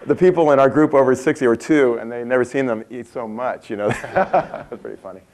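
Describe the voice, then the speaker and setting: A man's voice: medium pitch, fairly quick, noisy background. Chatty man giving a conference keynote. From Montana. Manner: chatty, asks the audience questions, laughs at his own stories. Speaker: keynote speaker. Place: large conference room with a seated audience.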